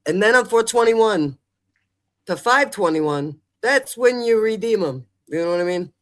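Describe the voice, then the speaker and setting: A man's voice: sits high, expressive, measured pace, fairly bright tone. A man hosting a call-in show in casual, colloquial chat, often checking that listeners follow. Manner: colloquial, chatty, seeks agreement. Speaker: advice call-in show host.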